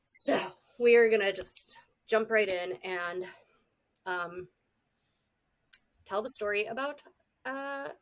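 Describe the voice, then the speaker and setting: A woman in her thirties co-hosting a casual podcast chat, a little congested from a cold, blunt and quick to swear. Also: mid-range voice. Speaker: podcast co-host.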